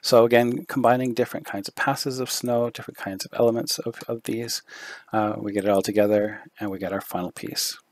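A man giving a screen-recorded software tutorial, thinking aloud with fillers, his voice going hoarse. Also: mid-range voice, steady tone, fairly quick, no room sound. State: losing his voice, throat clearing.

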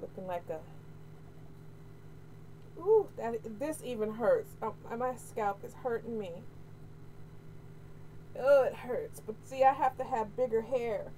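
A middle-aged American woman chatting casually to camera, candid and expressive, repeating phrases for emphasis. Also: a little high, takes it slowly, pauses often. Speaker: hair vlogger. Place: small room at home, indoors. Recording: noisy home webcam audio.

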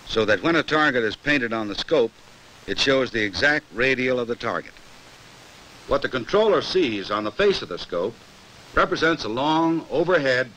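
An American man narrating a 1960s educational film in a measured, formal, explanatory way from a script. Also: mid-range voice, pushed and bright, poor audio. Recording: hissy old 1960s film soundtrack.

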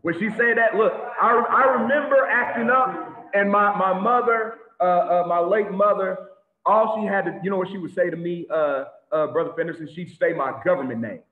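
A man preaching an animated sermon to a congregation over a video call, with lots of repetition and sudden shouts. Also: high-pitched, moderate pace. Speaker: teacher of a congregation. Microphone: video call webcam. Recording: tinny phone-like echoey audio.

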